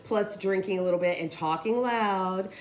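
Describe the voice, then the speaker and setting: A woman who is losing her voice, hoarsely chatting her way through a casual craft tutorial in a rambling, informal way. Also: medium pitch, moderate pace, darkish tone. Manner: rambling, informal, addresses viewers as guys. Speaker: craft video host.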